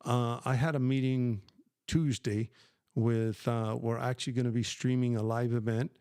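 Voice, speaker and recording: A man's voice: mid-range voice, fairly even intonation, moderate pace, darkish tone, clean tone, no echo, studio quality. Emphatic older man hosting a live Q&A. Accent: New Mexican Spanish when speaking Spanish. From New Mexico, USA. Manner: emphatic, rambling, many fillers and restarts. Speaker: livestream host. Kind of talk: casual live Q&A stream.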